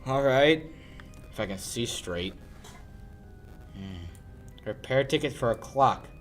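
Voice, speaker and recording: A young man acting a gruff, wisecracking detective in a 1940s radio play style, with clipped, wry delivery. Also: medium pitch, expressive, slow pace, faint music behind. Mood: puzzled, dry. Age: young adult. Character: gruff, wisecracking male private sleuth. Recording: amateur radio play recording.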